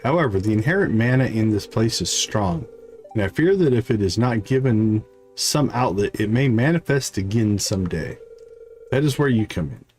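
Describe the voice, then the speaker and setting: A man's voice: fairly low pitch, expressive, normal speed, darkish tone, faint music behind, lightly acted. Man reading as an earnest, formal village wizard. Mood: solemn. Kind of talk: reading video game dialogue aloud. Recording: clear background noise.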